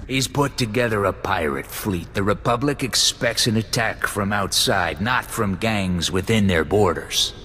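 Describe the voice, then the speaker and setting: A blunt, contemptuous officer. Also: male voice, fairly low pitch, a little slow, hardly any pauses, pushed and bright, slight background noise. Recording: game audio with long reverb and score.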